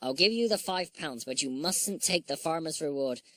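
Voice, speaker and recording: A woman voicing a pompous village policeman in a storybook, stern and blustering but soft-hearted. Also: deep voice, lively intonation, moderate pace, no echo. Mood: stern, relenting. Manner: important, blustering.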